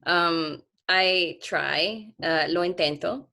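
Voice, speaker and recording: A woman with a low, gravelly voice talks as an author on a video call. She speaks earnestly and reflectively, often restarting her sentences. Her tone sits deep in her range. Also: takes it slowly, sharp tone. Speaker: author being interviewed.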